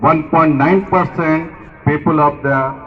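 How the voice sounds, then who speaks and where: A man delivers a formal speech, his voice a little higher than most men's. He reads haltingly, repeating and restarting words as he goes. The recording sounds dull and muffled. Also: fairly even intonation. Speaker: speaker at a language day event.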